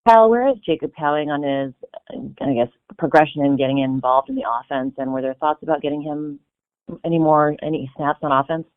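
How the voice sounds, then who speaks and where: A man's voice: a little high, a little fast, clear, resonant tone, dry recording. A man working as a sports reporter, putting questions at a press conference over a phone line. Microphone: phone conference call. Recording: thin phone line, dry.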